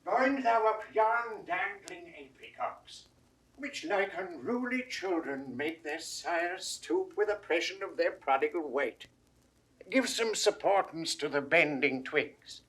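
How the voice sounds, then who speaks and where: Give the part of a grave, humble head gardener in a classical Shakespeare audio play. His voice is fairly high for a man and forceful, with a sharp edge. He declaims the verse very slowly and deliberately, like plain working wisdom made solemn. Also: animated, husky, exaggerated voice, low-quality recording. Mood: commanding. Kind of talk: 1960s classical Shakespeare audio drama acting.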